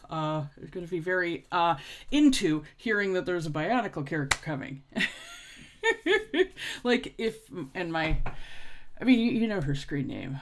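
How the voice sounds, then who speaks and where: Woman doing a reaction video, kinda low voice, unhurried, super animated intonation, casual chat.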